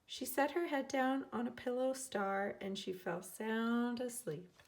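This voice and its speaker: A woman teacher reading a children's storybook aloud in an expressive way, acting out the characters. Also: on the high side, unhurried, gravelly, a little hiss or hum. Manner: expressive, dramatizing characters' lines.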